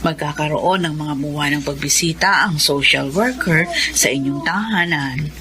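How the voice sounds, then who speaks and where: A low woman's voice, as a composed nun in a radio play. She explains formally and with measure, over faint ambient noise. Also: moderate pace, sharp tone. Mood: composed, official. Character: composed orphanage nun explaining adoption procedure. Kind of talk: Filipino radio drama acting.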